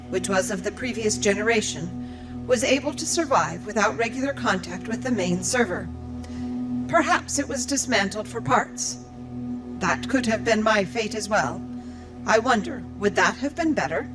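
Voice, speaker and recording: A woman's voice: mid-range voice, expressive, moderate pace, over music, natural voice, low-quality recording. A woman playing a lonely, earnest robot librarian in an audio drama, speaking in formal, precise sentences. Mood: formal, pensive. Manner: formal, precise recitation of data.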